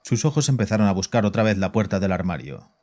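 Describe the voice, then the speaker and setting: An actor narrating a horror audio drama and playing its composed psychiatrist, measured and grave in a deep, dark voice. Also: male voice, lively intonation, natural voice. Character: composed, probing male psychiatrist who also narrates. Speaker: narrator and doctor.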